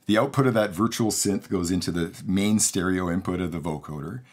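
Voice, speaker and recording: A man's voice: deep voice, fairly quick. A man in his forties giving a casual, enthusiastic studio tutorial to camera as a mixing engineer. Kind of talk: casual instructional talk to camera. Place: home recording studio, indoors.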